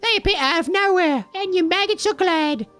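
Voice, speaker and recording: A bitter old townsman, sneering at a stranger. The voice is pushed very high and shrill. Faint music runs underneath. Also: normal speed, a bit bright. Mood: scornful. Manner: scornful, sneering.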